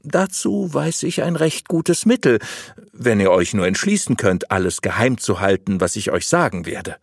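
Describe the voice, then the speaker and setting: A man's voice: lowish voice, sing-song, gravelly, husky, no echo, studio quality, full character voice. Man voicing a sly, unctuous, lecherous abbot. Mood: unctuous, scheming. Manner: unctuous, persuasive, deliberate. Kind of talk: audiobook storytelling.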